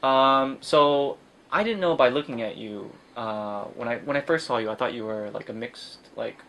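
A man's voice: mid-range voice, normal speed, fairly bright tone. A man in his twenties hosting a casual interview, asking teasing, joking questions in a small room. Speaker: interview host. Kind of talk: casual chat. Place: small indoor room with computers.

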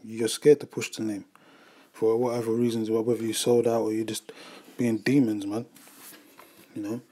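A man preaching in a loose, conversational way. His voice sits low and stays fairly flat in pitch, and he keeps tagging his lines with "man" and "you know". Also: normal speed. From United Kingdom. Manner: casual, repeats "man" and "you know", frequent restarts. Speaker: religious group member. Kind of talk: informal preaching upload. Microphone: voice-over on screen recording.

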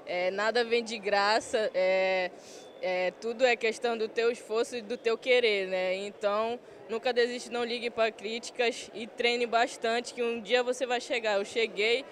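A woman's voice: fairly high pitch, fairly even intonation, measured pace, a little hiss or hum. A woman in her twenties, an athlete, giving plain, earnest answers in an interview in an airport hall. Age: 20s. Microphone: handheld reporter mic.

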